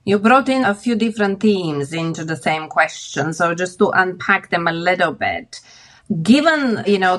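A woman's voice: lowish voice, fairly bright tone. A woman from Bulgaria speaking as an expert guest, explaining things conversationally and thinking aloud as she goes. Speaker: interview guest, expert commentator.

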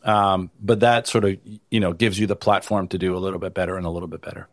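A man from Italy answering interview questions in a low, loose conversational voice. He restarts phrases often and leans on "you know." The sound is dry and close. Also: level tone, quick pace, no room sound. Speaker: venture capital investor, guest.

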